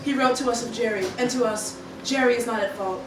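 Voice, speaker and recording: A roomy, noisy, distant recording of an amateur radio play. A woman plays an alien hive-mind being in a highish voice, composed and formal, with archaic Shakespearean phrasing. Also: fairly quick, close to an everyday voice. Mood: calm, composed.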